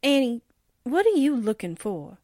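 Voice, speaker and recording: A woman's voice: a little high, big pitch swings, moderate pace, warm tone, no room sound, clean studio sound. A woman playing a gullible, flustered young newspaperwoman in 1940s radio drama style, wide-eyed and hesitant. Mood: puzzled.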